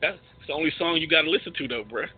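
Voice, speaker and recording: A male podcast host with a husky voice and very lively intonation. He talks casually in slang, teasing as he goes. The recording is slightly dull and lo-fi. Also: fairly high pitch, rapid-fire. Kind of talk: casual joking banter.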